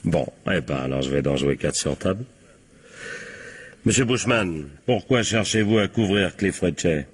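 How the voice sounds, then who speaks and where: An insistent, sardonic police captain in a radio crime drama. His low, husky voice swings widely in pitch. He talks colloquially and keeps prodding with short tag questions. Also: animated, normal speed. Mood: sardonic, probing.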